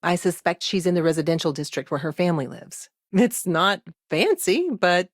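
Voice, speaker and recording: An actress playing a busy, dry-witted woman lawyer in a video game, dogged but friendly, with a wry, conversational and measured delivery. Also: fairly low pitch, lively intonation, no echo. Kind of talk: game dialogue lines, naturalistic acting.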